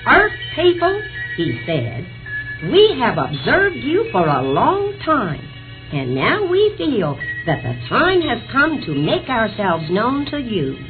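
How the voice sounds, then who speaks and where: Folksy, very animated country housewife. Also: female voice, on the high side, takes it slowly, full character voice. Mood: awed, earnest. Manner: folksy, earnest, fussing. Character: chatty, hospitable country housewife recalling alien visitors. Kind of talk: old radio drama acting. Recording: muffled old radio recording with music.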